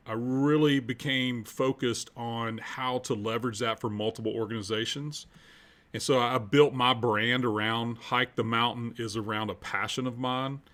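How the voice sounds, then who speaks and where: A man's voice: medium pitch, fairly bright tone. A man in his fifties with an American accent, answering interview questions conversationally and earnestly, repeating phrases for emphasis. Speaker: podcast guest, culture coach and minister.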